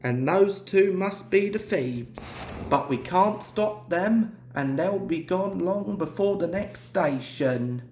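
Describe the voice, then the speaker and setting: A woman's voice: on the low side, animated, takes it slowly, a little mellow, reverberant, slight background noise. A woman voicing an earnest, indignant male railway fireman in a storybook. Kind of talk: storybook character voice.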